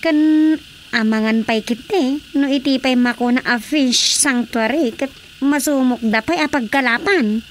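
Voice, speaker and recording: An actress playing a concerned, plain-spoken village daughter in an Ilocano radio drama, earnestly explaining things. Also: female voice, high voice, a little slow, a little hiss or hum. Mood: advising.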